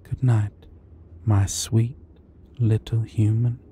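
Man as a shy, soft-voiced, slow-talking gentle dragon. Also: fairly low pitch, little pitch movement, slight background noise. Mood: sleepy, tender. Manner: hesitant, tender, soothing. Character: shy, sweet, gentle young male dragon, eager to please. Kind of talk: ASMR roleplay.

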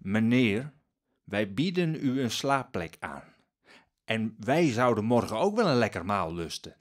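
A man's voice: on the low side, sing-song, a little slow. An actor playing a poor, wary, plain-spoken peasant father in a radio drama, blunt and firm.

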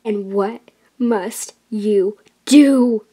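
A woman voicing a scheming, menacing lioness villain in an animated fan dub, speaking in a deliberate, sinister way. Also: mid-range voice, husky. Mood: commanding.

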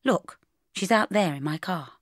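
A woman's voice: on the low side, expressive, a little slow, dry recording, studio quality. A woman voicing a cool, composed male barrister who is dryly teasing, clipped and understated. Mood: casual.

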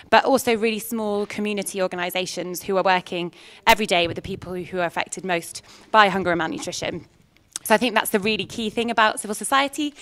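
A woman's voice: medium pitch, a bit bright. A woman in her thirties introduces her network with an upbeat, fluent delivery. She speaks into a handheld mic at a live event. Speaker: network representative. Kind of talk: short introductory speech. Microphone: handheld microphone.

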